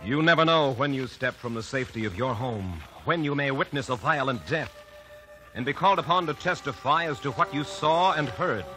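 Portentous American radio narrator with lively pitch. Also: male voice, a little fast, faint music behind, rough recording. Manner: portentous, theatrical. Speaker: announcer and narrator. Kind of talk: dramatic 1950s radio narration. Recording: vintage radio recording.

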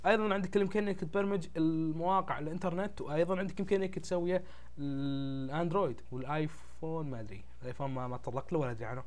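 A young man speaks Gulf Arabic at a very rapid pace, in a fairly high voice. His throat sounds tired and stuffed up as he explains things informally, like a host talking straight to his viewers. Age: young adult. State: congested, changed voice from a tired throat. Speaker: YouTube tech channel host. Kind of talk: casual explainer talk to camera. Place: small indoor room at a desk, webcam recording.

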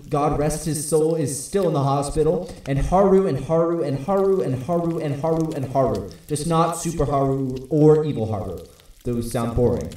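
Echoey, deadpan anime teenager acted by a man. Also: mid-range voice, natural voice. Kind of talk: fan abridged anime dub. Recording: very echoey, distant from the mic.

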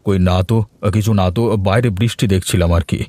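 A man's voice: on the low side, fast talker, darkish tone, dry recording, natural voice. A man voicing a sulky, bitter elderly village father in a cartoon family drama. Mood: downcast.

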